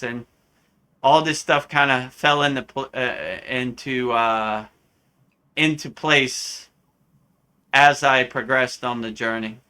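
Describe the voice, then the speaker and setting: A man from the United States, a spiritual teacher telling a story in a live Q&A, animated and often acting out the voices he quotes. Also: mid-range voice, clean tone. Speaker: spiritual teacher answering questions.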